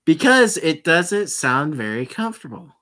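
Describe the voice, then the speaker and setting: A man's voice: on the high side, big pitch swings, moderate pace, pushed and bright. A man casually reading a visual novel aloud, throwing in joking, swearing asides. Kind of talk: casual let's-play narration.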